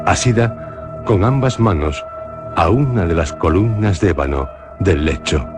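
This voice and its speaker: Deep-voiced Spanish man narrating a horror legend. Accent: Spanish from Spain. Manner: slow, measured, solemn storytelling. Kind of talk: dramatic radio reading of a horror legend. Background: music.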